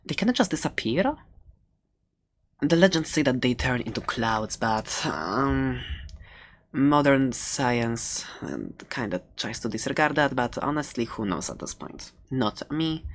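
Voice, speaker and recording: A woman's voice: very deep voice, big pitch swings, measured pace, exaggerated voice. A woman playing a playful, friendly dragon egg seller in an improvised ASMR roleplay, rambling hesitantly with little giggles. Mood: musing, teasing.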